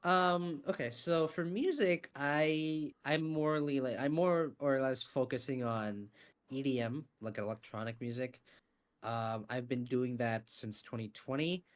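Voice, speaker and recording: A man being interviewed about his voice acting and scriptwriting, talking in a casual, animated way with lots of fillers and some swearing. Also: mid-range voice, a little slow. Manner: animated, swears freely, many fillers and restarts. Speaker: interview guest, voice actor and scriptwriter.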